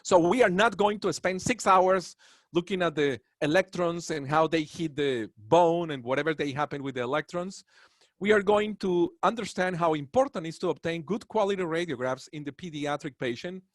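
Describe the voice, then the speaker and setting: A man's voice: fairly high pitch, moderate pace, dry recording, studio quality. A man from Colombia with a Colombian Spanish accent giving a webinar lecture on dentistry, earnest and proud, with rambling asides. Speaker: dental professor.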